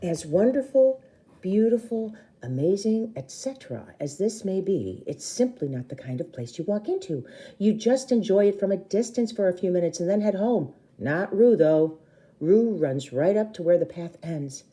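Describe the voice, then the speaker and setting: A woman reading a children's novel aloud like a teacher, with expressive emphasis and brief explanations. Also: medium pitch, normal speed.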